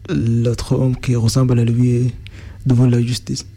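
Gravelly, husky man speaking haltingly. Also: medium pitch, completely flat delivery, mellow. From Sudan. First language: Arabic. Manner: searches for words, frequent restarts. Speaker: interviewee. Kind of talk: halting interview answers.

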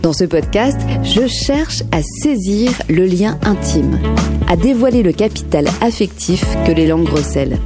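Podcast host lady, low-ish voice, careful scripted read, music under it. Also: animated. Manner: measured, clear reading. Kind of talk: scripted narration. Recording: narration over music.

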